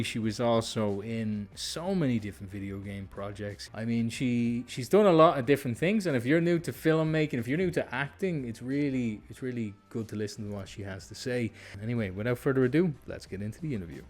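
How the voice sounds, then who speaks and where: A man hosting an interview show, chatting casually in an effusive, informal way. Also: medium pitch.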